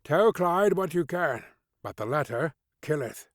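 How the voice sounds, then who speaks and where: Woman doing a low, sardonic old doctor. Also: expressive, a little slow, no room sound, studio quality. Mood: sardonic, devout. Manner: pompous, commanding. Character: sardonic, impatient, domineering old doctor, the father.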